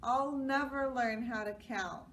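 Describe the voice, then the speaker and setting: A woman's voice: high voice, steady tone, slow, fairly bright tone. A woman voicing an earnest, puzzled young schoolboy in a children's storybook, with plaintive exclamations. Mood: puzzled, frustrated. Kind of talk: children's storybook read-aloud. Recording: distant mic, clear background noise.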